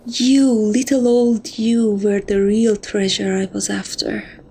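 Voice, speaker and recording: A woman playing a seductive, possessive catgirl thief who dotes on her captive, speaking softly and teasingly in a romantic ASMR roleplay. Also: medium pitch, slight background noise, close to an everyday voice. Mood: adoring, teasing. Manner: soft, coaxing, teasing, doting.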